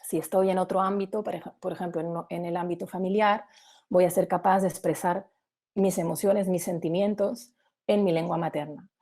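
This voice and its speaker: Female webinar presenter, warm dark-toned voice, didactic explanatory delivery built around examples. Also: fairly low pitch, fairly even intonation, moderate pace.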